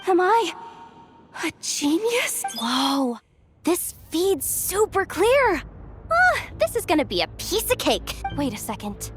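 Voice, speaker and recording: A woman playing a plucky, nervous young hacker thief in a video game, expressive and talking herself through things. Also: very high-pitched, slow pace, over music, heavily acted. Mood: excited. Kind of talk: animated video game voice acting.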